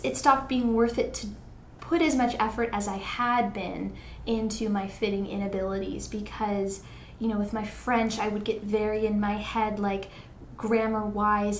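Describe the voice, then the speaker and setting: A woman hosting a podcast in casual conversation, thinking aloud earnestly with plenty of filler phrases. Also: mid-range voice. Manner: earnest, thinking aloud, frequent "like" and "you know". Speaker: podcast host. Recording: echoey room, faint background noise.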